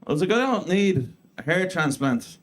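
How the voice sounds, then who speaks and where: Irish stand-up in his thirties, slightly raised pitch, dry crude self-mockery. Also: moderate pace, a little hiss or hum. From Ireland. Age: 30s. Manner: deadpan self-mocking delivery with crude punchlines. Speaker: stand-up comedian. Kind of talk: stand-up set. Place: comedy club stage before a live audience.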